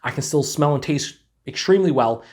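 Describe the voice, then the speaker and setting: A man's voice: fairly high pitch, expressive, fairly quick, darkish tone. A man with a stuffed-up head cold giving a laid-back, rambling beer review to camera, full of fillers. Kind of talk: casual solo review to camera.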